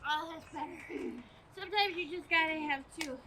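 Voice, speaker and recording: A woman's voice: sits high, normal speed, bright, forceful tone. A woman playing a whiny, distractible bumbler in a comic radio play, performed outdoors with an overdramatic manner. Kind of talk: comic stage acting. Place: outdoor parking lot theater performance. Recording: noisy outdoor stage performance.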